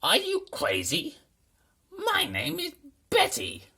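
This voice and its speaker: A man voicing an indignant female mountain monster in a shrill, over-the-top falsetto for a children's storybook. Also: wildly swooping pitch, takes it slowly, vocal fry, sharp tone, full character voice.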